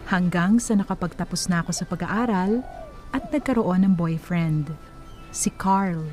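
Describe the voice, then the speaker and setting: A woman acting a reflective adult narrator recounting her life story in a radio drama, with a Filipino accent and a measured manner. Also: on the low side, darkish tone, soft background music, natural voice. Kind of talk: scripted first-person drama narration.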